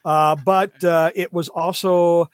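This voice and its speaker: High-voiced opinionated man, chatting. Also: little pitch movement, slow pace, clear, resonant tone, no room sound. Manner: opinionated, ranting. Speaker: podcast co-host reviewing comics. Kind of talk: casual chat.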